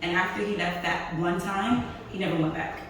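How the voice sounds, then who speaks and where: A woman from Haiti who grew up speaking Creole, guest in a relaxed interview, speaking softly and laughing easily. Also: on the low side, normal speed, background noise. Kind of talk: casual interview conversation. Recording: echoey room, mic far away.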